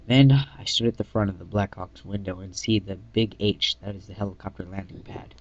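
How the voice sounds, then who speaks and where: A man giving an amateur dramatic reading of his own story, halting and often stumbling and correcting himself. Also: low-pitched, steady tone. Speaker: reader of own fan fiction. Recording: dull lo-fi mic in echoey room.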